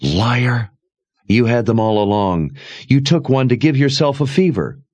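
A man's voice: medium pitch, expressive, measured pace, darkish tone, breathy edge, voice pushed up. A man voicing a frightened, accusing young woman in a fantasy audiobook, raised and strained. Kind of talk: audiobook character reading.

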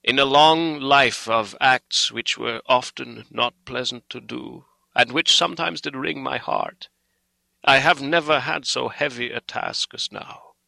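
Man acting a solemn old foreign doctor, slow and forceful. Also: mid-range voice, dry recording, pitched above the performer's own voice, low-quality recording. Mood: pitying, pleading. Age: older. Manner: solemn, emphatic, halting oratory. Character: grave, earnest old male foreign doctor, pleading and solemn. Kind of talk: dramatic audiobook character reading.

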